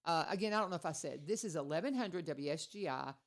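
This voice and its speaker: A woman's voice: low voice, lively intonation, fast talker. A woman hosting a casual radio interview, chatty and rambling, restarting her sentences. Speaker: radio host.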